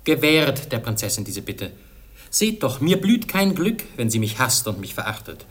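A man's voice: mid-range voice, very animated, moderate pace, a bit bright. A man playing a noble, lovestruck young prince, declaiming with passion in a theatrical radio play. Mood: pleading. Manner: impassioned, declamatory.

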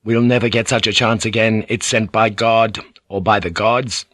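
Male narrator as defiant village headman, forceful, sharp, emphatic, persuasive, earthy old fighter, dry storybook sound. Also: fairly low pitch, fairly even intonation, normal speed, no room sound. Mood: rousing, defiant.

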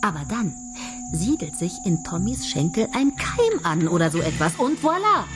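Female soap head writer, decisive and provocative, very lively intonation. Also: lowish voice, normal speed, music in the background. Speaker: head writer leading a meeting. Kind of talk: radio play dialogue.

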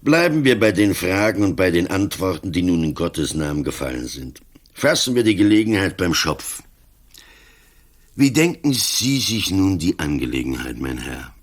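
An aging police commissioner with an extremely deep voice. He speaks coolly and deliberately, with dry sarcasm, as in a radio play. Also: very animated. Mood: wry, measured. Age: older. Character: old, cool, wry police commissioner.

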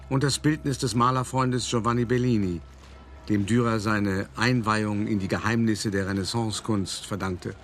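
An actor playing a cultured, self-satisfied male art forger, confessing in a measured, reflective, articulate radio drama monologue. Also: medium pitch, lightly acted. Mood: precise, proud. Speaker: first-person narrator.

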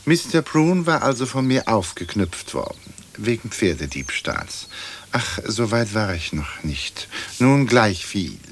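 An actor playing a haughty, verbose old English lord's ghost in a German radio play, with pompous, formal speech. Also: male voice, on the low side, very animated, unhurried, slight background noise. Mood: haughty, narrating.

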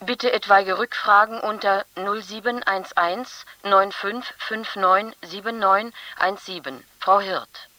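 Slow, sharp-toned female announcer. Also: mid-range voice, far from the mic, a little hiss or hum, low-quality recording. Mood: formal. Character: woman making a formal announcement with phone number. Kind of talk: service announcement in radio play.

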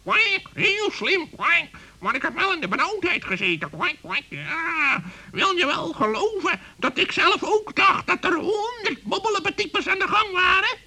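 A man voicing an excitable mother duck in a children's radio play, squawky and gushing, with quacks through the talk. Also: very high-pitched, a little slow, voice pushed up, heavily acted. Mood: impressed.

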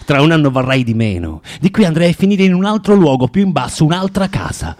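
An actor playing a bitter, self-loathing drinker who moralizes haltingly and insistently in a radio drama. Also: male voice, a little high, big pitch swings, a little mellow. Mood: grim, insistent. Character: bitter, preachy, self-loathing male drinker lecturing a young prostitute.

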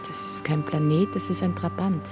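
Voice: medium pitch, fairly even intonation, moderate pace, music in the background, close to an everyday voice. An actor playing a timid but precise hostage in a radio drama. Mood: wary.

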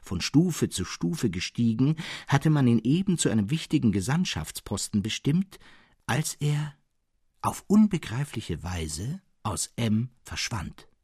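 A man reading literary fiction as narrator, in a measured, dramatic and expressive way. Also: mid-range voice, husky, no echo, clean studio sound. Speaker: narrator, reader.

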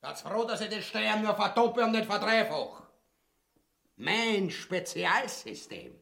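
A man's voice: high-pitched, very animated, measured pace, gravelly, sharp tone, heavily acted. An actor playing a greedy, brutal usurper king in a farcical radio play, pompous and blustering, with a Viennese accent. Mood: smug, bragging. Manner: pompous, blustering, barking orders. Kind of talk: farcical radio drama acting.

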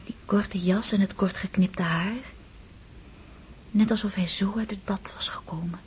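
An actress playing a young woman confiding in hushed, half-whispered tones in a radio play. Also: medium pitch, fairly even intonation, a little slow, slight background noise, lightly acted. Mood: tender. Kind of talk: radio drama acting.

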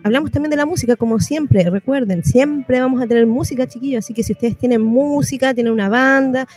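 A woman's voice: high-pitched, fairly quick, darkish tone, faint music behind. A woman with a Chilean accent hosting an informal community radio show in a colloquial, familiar way. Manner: colloquial, addresses listeners familiarly. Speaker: radio host.

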